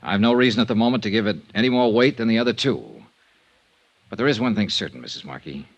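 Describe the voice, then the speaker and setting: An American man playing a dry, persistent insurance investigator in vintage radio noir style, with measured, matter-of-fact delivery. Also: lowish voice, animated, no room sound, dull audio. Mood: firm, explanatory. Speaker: lead actor and narrator.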